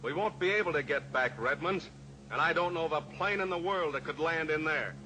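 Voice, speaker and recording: An actor playing a steely heroic cowboy pilot in an old-time radio drama, measured, taunting and authoritative. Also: male voice, a little high, pushed and bright. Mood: grim, pressing. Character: steely heroic cowboy pilot, coolly pressuring a captive killer. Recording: noisy old radio recording, engine drone.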